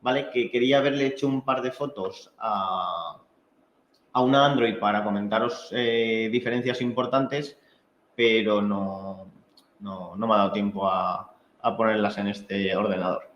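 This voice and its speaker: A man's voice: lowish voice, fairly even intonation, fairly bright tone, slight background noise. Spanish man training in an echoey room. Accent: Spanish from Spain. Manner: halting, conversational explanations with frequent check-in tags. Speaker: technical trainer. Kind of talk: informal technical training presentation. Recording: echoey room, dull muffled sound.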